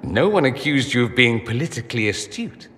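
An actor playing a witty, teasing, urbane mage in a fantasy game, with an arch, dry, theatrical delivery. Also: male voice, mid-range voice, big pitch swings, brisk, fairly bright tone. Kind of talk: video game cutscene dialogue. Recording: long reverb, noisy ambience behind.

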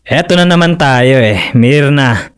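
An actor playing a proud, stubborn fisherman husband in a radio drama, who talks easily but quickly turns forceful and loud. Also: mid-range voice, expressive, clear, resonant tone, lightly acted. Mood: heated. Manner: easygoing at first, then heated and raising his voice.

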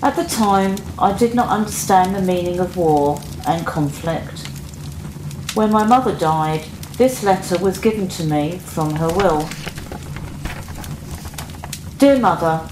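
A woman's voice: fairly low pitch, slow pace, pauses often. Woman playing a sombre daughter, echoey recording. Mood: wistful. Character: soldier's grown daughter, sombre, looking back on loss. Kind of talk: reflective radio drama narration. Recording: echoey room, clearly noisy background.

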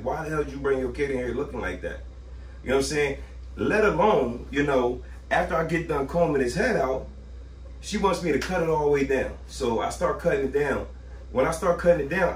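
A man in his thirties, a barber talking to camera in a casual, slangy, exasperated rant with emphatic delivery. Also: medium pitch. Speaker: barber, video host. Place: small indoor barbershop room. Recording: roomy echo, distant mic, faint noise.

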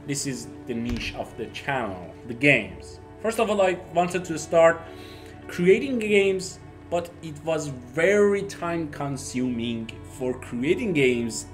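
A young man from Iran, a native Persian speaker, chatting casually to camera in halting, Persian-accented English as a YouTube host. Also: medium pitch. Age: young adult. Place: small home office, indoors.

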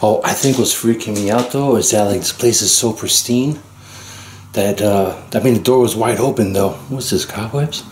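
A man's voice: fairly low pitch, normal speed, darkish tone. A man in his thirties exploring an abandoned house on a vlog, talking to viewers in an awed, chatty way. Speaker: urban explorer vlogger. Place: inside an abandoned house and garage. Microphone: handheld camera mic. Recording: echoey empty rooms with background noise.

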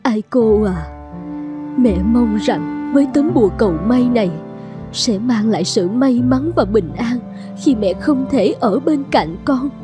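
A woman's voice: a little high, lively intonation, a little slow, over music. A woman voicing a humble, troubled middle-aged servant mother in a cartoon fairy tale, earnest and pleading. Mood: sorrowful.

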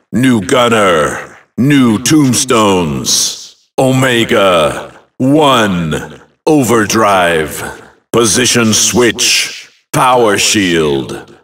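Deep, commanding fantasy game announcer. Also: male voice, a bit bright, far from the mic, full character voice. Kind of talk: short announced game voice lines.

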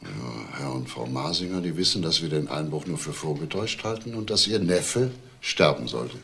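Tiefer, knarziger Kriminalkommissar. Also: male voice, a bass voice, expressive, normal speed, vocal fry, a bit bright, a little hiss or hum, heavily acted. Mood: grave. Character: probing, unhurried male police detective. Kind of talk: naturalistic crime drama dialogue.

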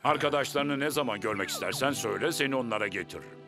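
A man voicing a stern, protective male manager car in a dubbed children's cartoon, with a gruff, firm manner. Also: medium pitch, expressive, fast, close to an everyday voice. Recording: studio voice under loud music.

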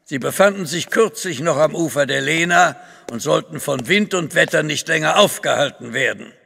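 An actor playing a formal, authoritative Russian grand duke in a German audio drama. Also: male voice, on the high side, lively intonation, moderate pace, gravelly, sharp tone, slightly rough, heavily acted. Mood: resolute, formal. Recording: long reverb of a large hall.

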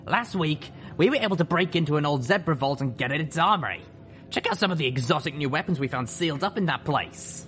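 A man's voice: on the high side, animated, fast talker, a bit bright, a little hiss or hum, pitched above the performer's own voice. A man voicing a proud, swaggering griffin mercenary showing off in a storybook tale. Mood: boastful. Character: brash, boastful griffin mercenary second-in-command.

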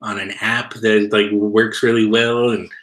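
A man's voice: on the low side, measured pace. A young man co-hosting a casual video call chat, speaking loosely with many fillers as a former comics retailer. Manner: relaxed, loose with likes and you-knows. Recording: echoey room over a video call.

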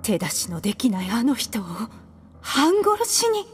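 A woman acting a fierce but soft-hearted younger sister in a radio drama. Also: on the high side, sing-song, measured pace, soft background music. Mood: furious, screaming.

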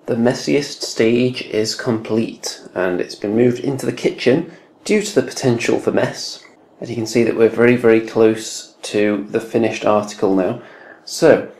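Man, lowish voice, dry understated host narrating a home build, echoey room. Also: darkish tone. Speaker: hobby video creator. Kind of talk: casual narrated build log.

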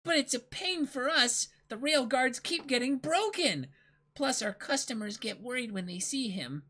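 A man's voice: extremely high pitch, expressive, moderate pace, sharp tone, pitched above the performer's own voice, full character voice. A man voicing a cheerful, chatty little girl who explains things in a manga reading. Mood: matter-of-fact, complaining.

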